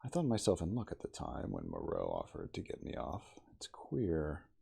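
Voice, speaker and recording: A man voicing a gruff, evasive, hard-drinking storybook character who speaks in a brusque, deliberate, offhand way. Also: rumbling low, wildly swooping pitch, warm tone, exaggerated voice. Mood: gruff, rueful.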